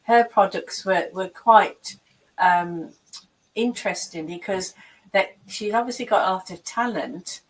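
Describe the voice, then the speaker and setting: A woman's voice: mid-range voice, measured pace, poor audio. A woman co-hosting a chat show, adding brief, thoughtful remarks. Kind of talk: casual studio chat.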